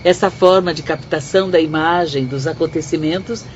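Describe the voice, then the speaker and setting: An actress with a Brazilian accent playing a serene, instructive spirit sister in a radio drama, with formal, didactic speech. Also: female voice, low voice, moderate pace, natural voice. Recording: dull audio with faint background noise.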